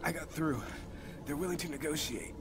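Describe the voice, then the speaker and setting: An actor playing an earnest male soldier in a video game, measured and grave. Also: on the high side, heavy background noise, close to an everyday voice. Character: earnest male soldier, steady under pressure. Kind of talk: video game cutscene acting.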